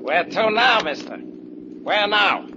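Streetwise cabbie, male, exasperated and blunt, higher register, heard on a very noisy old radio recording. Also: animated, slow pace, muffled recording. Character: exasperated, tough big-city cab driver. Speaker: actor. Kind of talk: 1940s radio drama acting.

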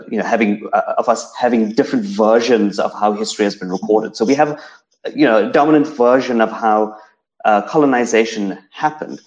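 A man with a South African accent lectures through slides in a somewhat low voice, pausing often with fillers and restarting his sentences. He sounds like an academic leading a seminar. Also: a little mellow, poor audio. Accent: South African English. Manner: hesitant, many fillers and restarts.

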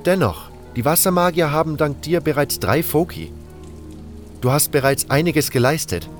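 A man's voice: a little high, lively intonation, moderate pace, pitched above the performer's own voice, close to an everyday voice. A narrator plays a young fire mage in a fantasy audiobook. His voice is lifted and friendly, encouraging and loyal in manner. Music and rain run underneath. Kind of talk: audiobook character dialogue.

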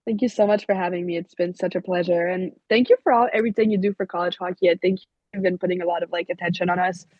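A woman's voice: lowish voice, steady tone, quick pace, no room sound. A young woman from Quebec, a native French speaker with a Québécois accent, answering interview questions casually and saying "like" constantly. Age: young adult. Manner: leans heavily on "like" as a filler. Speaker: college hockey player guest. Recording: dull lo-fi sound.

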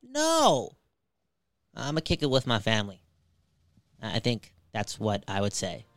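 A man's voice: on the low side, huge pitch swings, unhurried, fairly bright tone, no room sound. Emphatic man talking sports, close dry sound. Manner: emphatic, repeats phrases, slangy and profane. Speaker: sports talk show host. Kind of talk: casual sports commentary chat.

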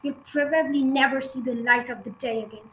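Brief: storybook bully headmistress, shouting, ranting, put on by a woman reader, high and bright. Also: moderate pace, slight background noise, poor audio. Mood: raging. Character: furious bullying woman headmistress, raging and cruel.

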